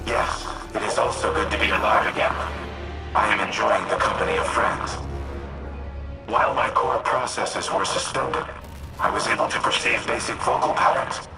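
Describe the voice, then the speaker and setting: Deep gravelly war machine, acted by a man. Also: steady tone, lightly acted, rough recording. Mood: calm, gentle. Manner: formal, measured, solemn speech. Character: male war machine intelligence, stately, grave, calm protector. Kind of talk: dramatic video game cutscene acting. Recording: loud music behind the voice. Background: loud music.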